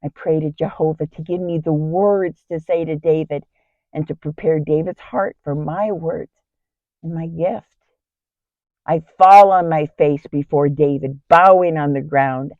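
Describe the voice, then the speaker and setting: A woman's voice: low voice, fairly even intonation, unhurried, no room sound, phone-quality sound. A woman playing a kind, brave biblical wife in an earnest, theatrical dramatic monologue. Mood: fearful, awed. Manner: theatrical, earnest, emphatic storytelling. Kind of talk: dramatic first-person Bible monologue.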